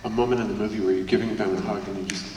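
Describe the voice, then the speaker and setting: A man's voice: lowish voice, fairly even intonation, rapid-fire. A man moderating a festival panel in a friendly way, repeating audience questions for the room. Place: large auditorium. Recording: echoey hall, mic heard from far off, noisy.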